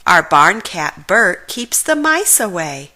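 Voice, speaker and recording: امرأة تؤدي دور مزارع دب ودود وفخور بنبرة مشرقة. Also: female voice, lowish voice, sing-song, slow pace, pushed and bright, full character voice. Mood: proud, friendly. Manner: folksy, explanatory, rhyming storybook speech. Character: friendly, proud male farmer bear, hardworking and folksy.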